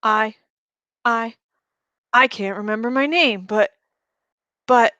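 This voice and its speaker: Woman voicing a frightened, angry teenage boy, halting. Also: a little high, bright, forceful tone, no room sound, dull audio. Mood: scared, confused. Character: frightened, angry teenage boy fading away on operating table.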